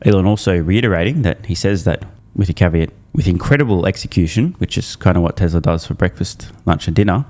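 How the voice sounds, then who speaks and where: A low-pitched male host runs through a prepared recap with punchy emphasis. He sounds opinionated and irreverent, dropping into slangy, casual asides between the scripted points. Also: lively intonation, fairly quick, dark tone. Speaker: host of an investor news channel.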